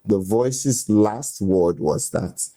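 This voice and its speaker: A man's voice: on the low side, drawn-out words, dark tone. A man, a guest frankly recounting his own life in an interview. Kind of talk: candid personal storytelling.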